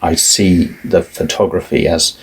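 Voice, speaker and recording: A middle-aged man, a guest artist, talking in a relaxed, thoughtful way with many restarts. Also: deep voice, a little slow, breathy edge. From family roots in Ireland, moved around a lot. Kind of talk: relaxed conversational interview.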